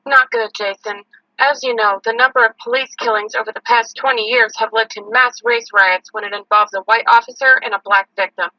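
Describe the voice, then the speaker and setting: A woman's voice: a little high, steady tone, a little fast, dry recording, natural voice. A woman playing a serious, matter-of-fact field reporter giving a scripted news report, in slightly lo-fi audio. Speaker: on-scene reporter.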